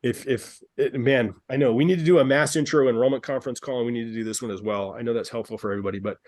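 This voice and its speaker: A man's voice: medium pitch, steady tone, racing, a little mellow, dry recording. Upbeat, persuasive man hosting a coaching call. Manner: upbeat, persuasive, repeats himself, addresses callers by name. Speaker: dance studio business coach, call host. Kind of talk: live group coaching call. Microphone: video call.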